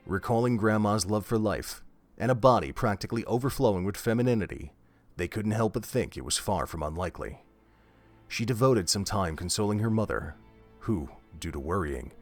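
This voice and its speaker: A man narrating a scripted story in a measured, steady way. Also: on the low side. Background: faint music.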